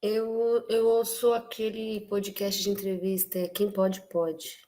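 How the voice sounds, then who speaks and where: A woman's voice: medium pitch, level tone, slow pace, warm tone, low-quality recording. A Brazilian woman, a workshop student, talking casually on an online video call. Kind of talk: casual chat.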